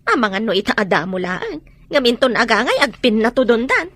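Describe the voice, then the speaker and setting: A woman's voice: a little high, sing-song, normal speed, fairly bright tone, no echo. A woman playing a nosy, teasing, inquisitive female relative in an audio comedy drama.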